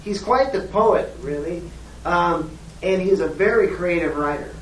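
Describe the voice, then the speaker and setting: Diplomatic schoolteacher, role-played, careful and halting delivery, mid pitch, recorded at a distance in an echoey room. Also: lightly acted. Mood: polite, careful. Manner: hesitant, measured, diplomatic, frequent pauses. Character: polite, diplomatic schoolteacher phoning a parent. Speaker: teacher. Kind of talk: role-played phone call demonstration. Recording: echoey room, distant mic, faint noise.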